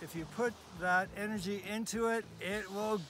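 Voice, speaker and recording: A man's voice: high-pitched, steady tone, normal speed, a bit bright, a little hiss or hum. A man giving an earnest ski review outdoors on a snowy mountain. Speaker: ski tester. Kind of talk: casual gear review.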